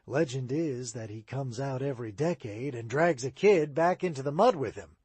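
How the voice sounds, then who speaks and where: A man doing a grown townsman in a storybook, talking low and confiding as he spins a spooky local tale. The voice has a slightly husky edge and swings up and down as the story builds. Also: expressive, moderate pace, darkish tone, no echo.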